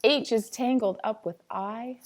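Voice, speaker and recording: Slow-paced, playful woman reading aloud. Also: fairly high pitch, darkish tone, slight background noise. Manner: sing-songy, playful, dramatic delivery. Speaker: teacher reading a picture book aloud. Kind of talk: expressive read-aloud with chatty asides.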